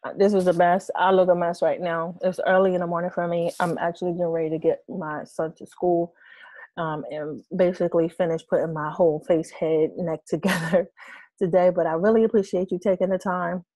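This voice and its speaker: A woman in her thirties, hoarse from losing her voice, casually explaining an online tutorial with many fillers and restarts. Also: fairly low pitch, level tone, fairly quick, mellow, no room sound, rough recording. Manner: rambling, hesitant, many fillers. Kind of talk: casual screen-share tutorial. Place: small room with red curtains, indoors.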